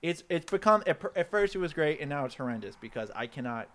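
A man co-hosting a podcast in casual comedic chat, with an excitable, rambling, playful way of talking. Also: on the high side, fast talker, slight background noise. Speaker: podcast co-host.

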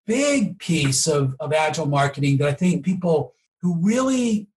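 A man explaining things conversationally as an interview guest, emphatic and often checking in with a quick "okay?" or "right?". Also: a little high, big pitch swings, a little mellow. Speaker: podcast guest, marketing professional.

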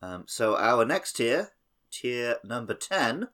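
A man's voice: mid-range voice, big pitch swings, slow, a bit bright. A man co-hosting a podcast, explaining things informally in casual video game chat. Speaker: podcast co-host.

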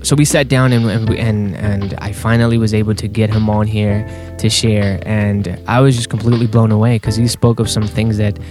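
A man hosting a faith podcast, talking earnestly and a little haltingly with fillers and restarts. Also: on the low side, little pitch movement. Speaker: podcast host. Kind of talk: casual podcast intro. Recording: clear guitar music underneath.

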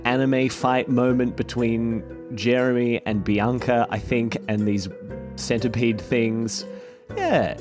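A man hosting a podcast, talking casually and directly to listeners in an informal sign-off. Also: mid-range voice. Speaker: podcast host. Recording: music under the voice.

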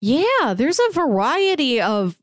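Lively-pitched woman co-hosting a podcast. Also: slow, dry recording, studio quality. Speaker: podcast co-host. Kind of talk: casual chat.

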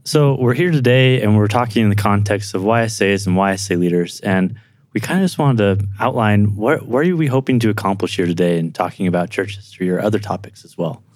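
A man's voice: on the low side, animated, brisk, darkish tone, dry recording. A young man from California co-hosting a podcast, introducing himself and asking questions in an upbeat, eager way. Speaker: podcast co-host. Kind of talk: scripted intro and interview questions.

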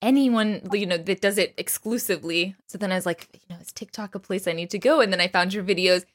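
A woman's voice: medium pitch, quick pace, no echo. Woman podcast host, close dry sound. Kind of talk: scripted introduction, then casual interview chat.

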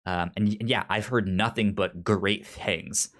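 A man's voice: fairly low pitch, steady tone, unhurried, a bit bright. A man co-hosting a podcast in casual chat, stuttering heavily on first sounds of words. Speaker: podcast co-host.

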